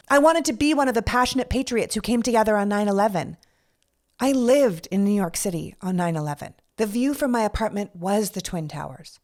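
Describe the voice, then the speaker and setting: Middle-aged woman from Canada, podcast host, earnest scripted monologue, blunt and a little profane. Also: on the high side. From Canada, Toronto. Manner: earnest, candid, with casual swearing and rhetorical questions.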